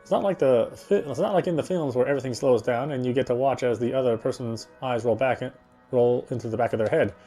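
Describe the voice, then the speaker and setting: A man reading visual novel narration aloud in a dramatic, reflective way with deliberate phrasing. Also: medium pitch, warm tone, faint music behind. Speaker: game streamer reading aloud.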